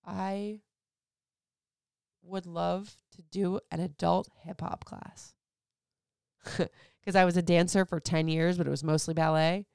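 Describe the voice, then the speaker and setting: A woman's voice: lowish voice, little pitch movement, slow, vocal fry, pauses often, darkish tone, clear, resonant tone, no room sound, clean studio sound. A woman in her thirties from Florida, chatting candidly as a host answering listener questions, rambling with lots of "like"s. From Florida, USA. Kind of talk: casual chat.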